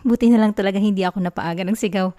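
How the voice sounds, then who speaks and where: A woman with a Filipino accent playing a caring, playful girlfriend in a soft, intimate ASMR roleplay. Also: mid-range voice, fairly even intonation, fast talker, close to an everyday voice. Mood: playful, giggly. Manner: soft, intimate, giggly, teasing. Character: affectionate, caring young girlfriend, playful and sweet.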